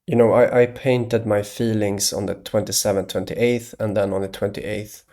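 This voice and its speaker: A man from Sweden, talking hesitantly in a rambling solo monologue, with many pauses and restarts. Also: fairly low pitch, flat delivery, a little mellow. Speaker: video blogger.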